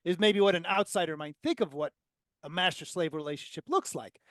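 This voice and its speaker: A man co-hosting a podcast, asking questions in a relaxed conversational way. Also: a little high, brisk, no room sound. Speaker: podcast co-host. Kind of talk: casual podcast interview.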